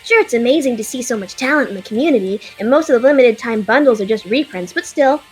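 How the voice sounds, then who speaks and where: A woman's voice: fairly high pitch, lively intonation, quick pace, a little mellow, soft background music, close to an everyday voice, rough recording. Woman voicing a chatty baby dragon. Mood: eager. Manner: eager, rambling fan talk. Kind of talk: fan audio drama acting.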